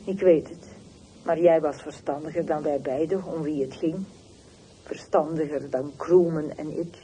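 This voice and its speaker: An actress playing a bitter, wounded middle-aged woman on the telephone in a radio play, terse and reproachful with deliberate pauses. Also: low-pitched, fairly even intonation, warm tone. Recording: noisy old radio drama recording.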